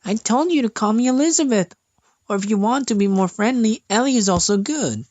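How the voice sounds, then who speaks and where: A man's voice: high-pitched, animated, a little fast, darkish tone, dry recording, pitched above the performer's own voice. A man voicing a brash, perky, blunt young female idol singer in a fantasy game story. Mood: brash, chiding. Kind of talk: acted fantasy game cutscene reading. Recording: music behind the voice.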